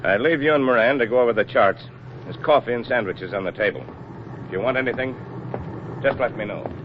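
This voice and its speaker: An actor playing a stern, middle-aged Navy admiral in a 1940s radio drama, speaking in a formal, measured, authoritative way. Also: male voice, medium pitch, natural voice. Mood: controlled, serious. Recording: muffled, noisy 1940s radio recording.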